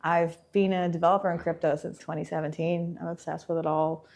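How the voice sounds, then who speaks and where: Low-voiced Irish woman, enthusiastic. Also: level tone, creaky, a little mellow. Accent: Irish with some American. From Ireland. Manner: enthusiastic, quick to laugh. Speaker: developer relations engineer. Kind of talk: conference interview. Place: conference venue.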